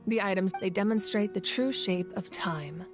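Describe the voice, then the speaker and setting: A woman playing a thoughtful, composed female sage in a video game, speaking in a measured, reflective way over music. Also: mid-range voice, natural voice. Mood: calm, philosophical. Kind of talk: scripted video game dialogue. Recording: music under the voice. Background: background music.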